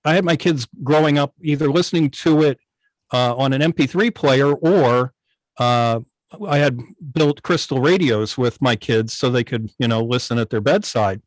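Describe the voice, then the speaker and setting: A middle-aged man explaining things casually on a video call, often pausing to search for his words. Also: medium pitch, dry recording, low-quality recording. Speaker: guest, old-time radio station operator. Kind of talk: casual explanatory talk on a club video meeting.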